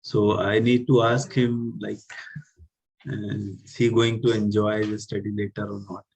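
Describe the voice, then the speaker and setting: A man's voice: on the low side, flat delivery, a little slow, vocal fry, darkish tone, slight background noise, low-quality recording. A man, a parent chatting casually with a teacher on a call. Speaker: parent of a student. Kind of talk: casual chat on a video call.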